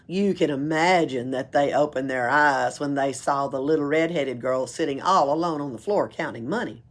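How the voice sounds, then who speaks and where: A woman's voice: low voice, moderate pace. A woman reading a children's book aloud expressively, as at a library storytime.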